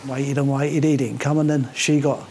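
A man's voice: medium pitch, level tone, normal speed, a crowd in the background. A man in his sixties with a London accent, casually telling his life story in colloquial, sweary speech. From born in Cyprus, grew up in north London. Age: 60s. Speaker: interviewee. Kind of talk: casual oral history storytelling.

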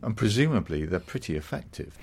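A man's voice: sits low, sing-song, a little fast, creaky, darkish tone, husky. A man hosting a conversational interview, putting questions and summing up points for his guest. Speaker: podcast host, interviewer.

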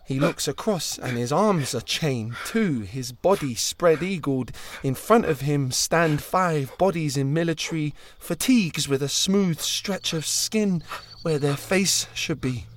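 A man reading a dark horror story aloud, intense, swinging between urgent peaks and low hushed passages. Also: expressive, measured pace. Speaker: audiobook reader. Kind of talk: scripted dramatic horror narration.

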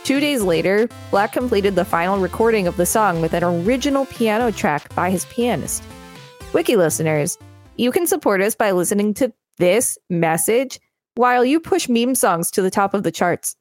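A woman's voice: mid-range voice, animated, moderate pace, faint music behind. A woman co-hosting a podcast, reading encyclopedia text aloud with conversational asides. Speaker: podcast co-host. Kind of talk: reading encyclopedia pages aloud with casual commentary.

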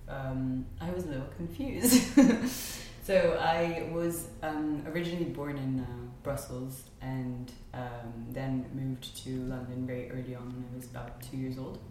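A woman's voice: rumbling low, a little slow, warm tone. A young woman from Spain, a podcast guest chatting casually, with frequent ums and light laughter. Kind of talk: casual conversational chat. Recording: very echoey room with faint noise.

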